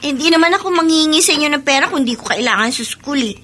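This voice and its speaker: Demanding Filipino teen daughter, whiny radio drama role, very bright high voice. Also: female voice, expressive, fast talker, a little hiss or hum. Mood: sulky. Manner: whiny, petulant. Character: sulky, demanding fifteen-year-old schoolgirl daughter.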